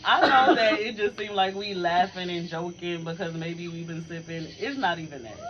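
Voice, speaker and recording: A woman's voice: fairly low pitch, moderate pace, fairly bright tone, muffled recording, a little hiss or hum. A woman co-hosting a podcast with her older sister, chatting casually in a giggly, heartfelt way. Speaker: podcast co-host. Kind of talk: casual chat between sisters.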